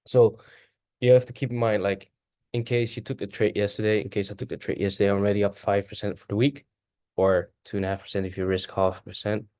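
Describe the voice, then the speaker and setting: A man whose first language is Dutch, casually thinking aloud through a chart walkthrough, halting and correcting himself as he goes. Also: fairly low pitch, flat delivery, darkish tone, dry recording. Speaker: forex trader.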